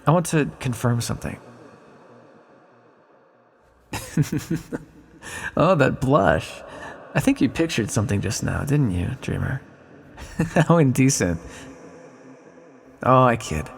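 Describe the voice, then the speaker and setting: A man playing an ancient exiled villain, a weary, teasing magical being, musing slowly and wryly in an ASMR roleplay. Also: medium pitch, lively intonation, gravelly, breathy edge, full character voice. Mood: teasing, coaxing. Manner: slow, musing, wry, theatrical. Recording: long cavernous reverb.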